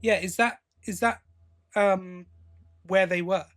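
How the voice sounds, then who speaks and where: A man co-hosting a podcast, giving a casual review in a halting way, thinking aloud as he goes. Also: sits high, steady tone, fairly bright tone. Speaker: podcast co-host.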